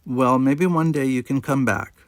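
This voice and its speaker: A man's voice: medium pitch, normal speed, no echo, clean studio sound, lightly acted. A man voicing a kind, wry woman schoolteacher in an audiobook reading. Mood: encouraging. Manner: encouraging, wry. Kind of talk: acted dialogue in audiobook reading.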